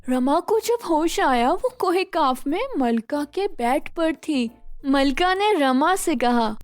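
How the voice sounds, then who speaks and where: Female storyteller for children's bedtime tales: high pitch, slow deliberate reading, expressive, soft music bed. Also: no echo.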